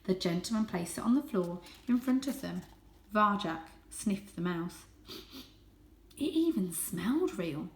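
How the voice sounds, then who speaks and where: A woman reading a children's story aloud as host, with expressive, dramatic and suspenseful narration. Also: mid-range voice, unhurried. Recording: echoey room.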